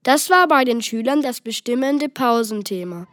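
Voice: medium pitch, animated, moderate pace, fairly bright tone, no echo. A child reading scripted narration for a children's story.

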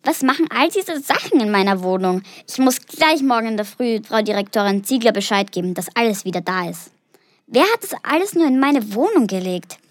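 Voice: mid-range voice, expressive, a little fast, no room sound, studio quality, natural voice. A child playing a helpful little dragon who lives in a school attic, in a children's audio play. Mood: puzzled.

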